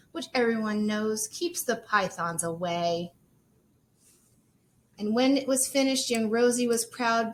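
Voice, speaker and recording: A woman teacher reading a children's storybook aloud expressively, in measured, sing-song rhyme. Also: on the high side, slight background noise, poor audio.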